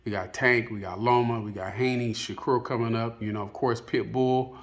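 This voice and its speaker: A man's voice: medium pitch, steady tone, moderate pace. A man giving casual, unscripted opinion commentary as a video host, rambling and hesitant, often padding with filler phrases. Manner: hesitant, rambling, peppered with "you know".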